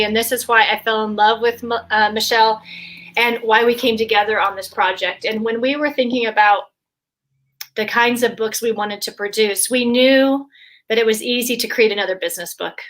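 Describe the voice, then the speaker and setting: A woman's voice: on the high side, fairly even intonation, a little fast, fairly bright tone, low-quality recording. A woman hosting a casual video call panel from home, speaking with enthusiastic praise for her guests. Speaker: host.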